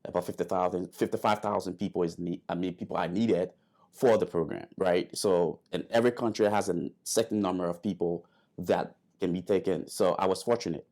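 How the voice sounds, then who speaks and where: Man, from Liberia, very deep voice, hesitant storytelling, interview guest. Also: steady tone, a little mellow. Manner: halting, many fillers and restarts.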